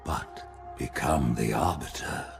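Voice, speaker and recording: An actor playing an ancient, scheming alien prophet in a video game, speaking in a pompous, deliberate, formal way. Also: male voice, very deep voice. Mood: solemn, commanding. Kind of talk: dramatic video game acting. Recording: music behind the voice.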